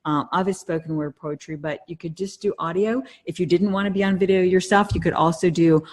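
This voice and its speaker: Low-pitched middle-aged woman. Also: fairly even intonation, a little fast. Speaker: podcast co-host. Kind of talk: casual conversational podcast chat.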